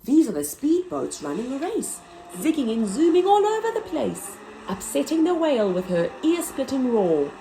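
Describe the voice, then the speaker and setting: A woman reading a rhyming picture book aloud to children in a slow, sing-song way. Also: high-pitched, big pitch swings, warm tone, a little hiss or hum, low-quality recording. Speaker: children's story reader.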